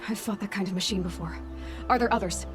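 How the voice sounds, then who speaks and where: A woman's voice: medium pitch, quick pace, close to an everyday voice. Firm, terse young huntress played by a woman. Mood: demanding. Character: stern young female huntress, determined interrogator, ultimately merciful. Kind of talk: dramatic video game acting. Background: faint background music.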